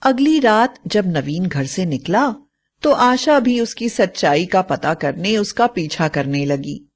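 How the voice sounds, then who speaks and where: A woman's voice: fairly low pitch, big pitch swings, slow. A woman narrator reading a scripted bedtime story for children.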